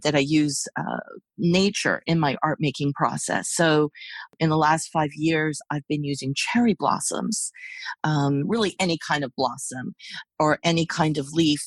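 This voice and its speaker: A middle-aged woman artist, whose first language is Hungarian, talks reflectively in a podcast interview, animated and full of restarts. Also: sits low, unhurried, no echo. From United States. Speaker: guest artist.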